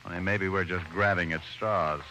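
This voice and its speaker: Male detective, low, bright and assured, dryly witty, old radio crime show, hissy recording. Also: fairly even intonation, normal speed, low-quality recording. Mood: musing, intent. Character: determined, quick-witted male private detective with dry humor. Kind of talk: old-time radio detective drama acting.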